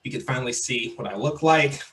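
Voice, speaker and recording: A young man from Mississippi hosting a podcast, talking in a relaxed, chatty way and repeating his phrases. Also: medium pitch, fairly quick, slight background noise. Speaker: podcast host. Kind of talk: casual interview.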